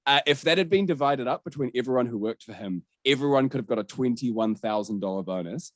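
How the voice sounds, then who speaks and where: A man's voice: medium pitch, lively intonation, quick pace, no echo. A man hosting a gaming podcast, talking through the news in a candid, sweary, conversational way. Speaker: podcast host.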